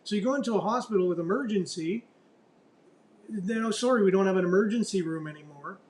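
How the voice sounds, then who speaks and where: Loud high-voiced radio host. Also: male voice, fast talker, a little hiss or hum. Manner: chatty, rambling, rhetorical questions. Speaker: news talk host. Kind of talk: casual news chat. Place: radio studio. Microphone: studio mic close up.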